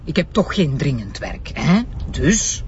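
A man's voice: mid-range voice, sing-song, measured pace, soft background music. A man with a Flemish accent playing a chiding, defensive colleague in a crime audio drama. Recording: dull lo-fi sound with faint noise.

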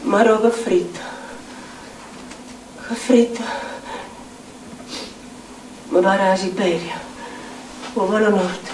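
An actress playing a volatile, bitter Neapolitan slum dweller in a theatrical dialect monologue, chanting repeated phrases and swinging between mutters and shouts. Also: female voice, medium pitch, a little hiss or hum, lightly acted. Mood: shivering, plaintive. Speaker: stage actress. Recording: roomy, distant stage sound with music.

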